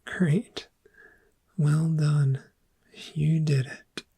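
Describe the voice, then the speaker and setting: A woman playing a gentle, patient dragon therapist in a soft-spoken ASMR roleplay, with a breathy, whispery, measured and reassuring delivery. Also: sits low, level tone, heavily acted. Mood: soothing, encouraging.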